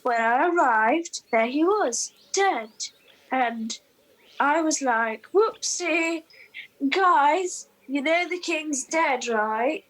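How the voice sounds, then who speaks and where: A woman acts a dubious priest in a radio comedy, breezy and slangy. The high voice takes its time, dodging along in an offhand, evasive way. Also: lively intonation, drawn-out words, a bit bright, exaggerated voice, low-quality recording. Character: shifty, flippant fake priest, evasive and breezy. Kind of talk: comedy radio play dialogue.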